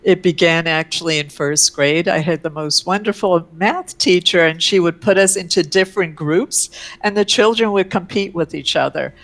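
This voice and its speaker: Low-voiced woman, effusive guest. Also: moderate pace, fairly bright tone. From Canada and the US. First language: Ukrainian. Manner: effusive, enthusiastic, with frequent "uh" fillers. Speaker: interview guest, professor. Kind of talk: conversational interview. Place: a room at home with her oil paintings.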